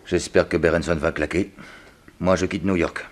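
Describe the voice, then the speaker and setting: Actor playing a low-voiced ruthless male spy. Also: fairly even intonation, normal speed, lightly acted. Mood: cold, calculating. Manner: menacing, curt. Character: ruthless male German spy and cold schemer. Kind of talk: 1960s French radio drama acting.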